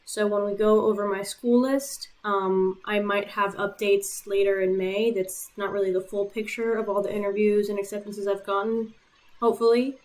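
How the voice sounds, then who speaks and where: A young woman from Puerto Rico, a native Spanish speaker, talks her viewers through her own application as a vlogger. Her delivery is relaxed and matter-of-fact, with plenty of "um" fillers between points. Her voice sits at an ordinary middle pitch. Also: moderate pace. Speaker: YouTuber and medical school applicant.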